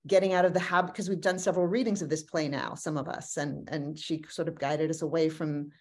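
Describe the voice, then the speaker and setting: A woman's voice: low-pitched, fairly even intonation, a little fast, a little mellow. A woman in her thirties speaking reflectively as an actor in a talkback, recorded indoors on a video call. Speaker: actor in an audio drama cast. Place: room at home, indoors.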